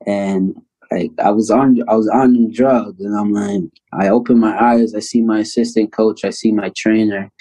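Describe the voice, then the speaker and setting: A man in his thirties from Canada, a basketball player telling stories as an interview guest in a casual, animated way. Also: on the low side, normal speed, low-quality recording. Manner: animated storyteller, acts out quotes loudly.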